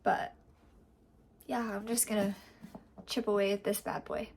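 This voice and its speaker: A woman's voice: mid-range voice, big pitch swings, measured pace, a little hiss or hum. A young woman with a cold and a congested, nasal voice, chatting casually in a rambling reading update full of fillers. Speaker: book vlogger.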